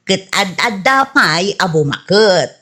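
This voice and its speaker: A woman's voice: medium pitch, lively intonation, slow, pushed and bright, heavily acted. Woman playing a vain Filipino wife, middling voice. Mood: yielding. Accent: Filipino, Ilocano. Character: vain wife fond of filtered selfies. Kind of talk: Ilocano radio comedy drama.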